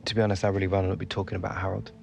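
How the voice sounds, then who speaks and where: Low-voiced young English footballer. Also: male voice, level tone, rapid-fire, vocal fry. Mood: guarded, reflective. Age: young adult. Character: earnest, modest young star footballer. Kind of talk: naturalistic video game cutscene acting. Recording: loud music behind the voice. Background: music.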